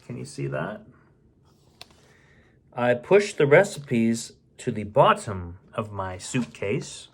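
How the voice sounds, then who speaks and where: A man's voice: mid-range voice, very animated, slow, vocal fry, pauses often, slightly rough. A man reading a storybook aloud expressively, with casual muttered asides as he goes. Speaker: bedtime story reader.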